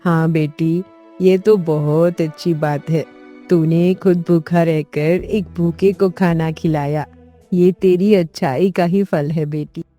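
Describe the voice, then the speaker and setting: A woman voicing a gentle, motherly older mother-in-law in a moral-tale cartoon. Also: on the low side, steady tone, slow pace, soft background music. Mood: approving.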